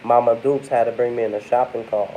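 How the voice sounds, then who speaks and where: Young man, stuffy and a bit under the weather, mellow tone, loose rambling vlog chat, faint hiss. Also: mid-range voice, fairly even intonation, a little fast, rough recording. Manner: rambling, addresses viewers as y'all. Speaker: vlogger. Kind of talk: casual talk to camera.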